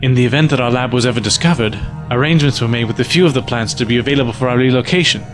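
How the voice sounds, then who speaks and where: An actor playing a calm, capable resistance scientist in an audio drama, matter-of-fact as he explains. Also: mid-range voice, racing, natural voice. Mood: explaining. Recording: music behind the voice.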